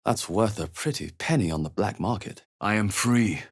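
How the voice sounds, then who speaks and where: An actor playing a wry, wary adventurer hero in a fantasy video game, speaking in a measured, understated, dry way. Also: male voice, fairly low pitch, husky. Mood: wry, watchful. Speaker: video game player character voice. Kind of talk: scripted fantasy game exploration barks.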